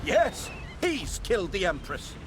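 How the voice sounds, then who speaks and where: A severe royal schemer in a video game. His somewhat high voice swoops dramatically through formal, theatrical lines, over clear background noise. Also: huge pitch swings, a little slow, full character voice. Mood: accusing. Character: stern, scheming royal spymaster. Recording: game scene with loud ambient noise.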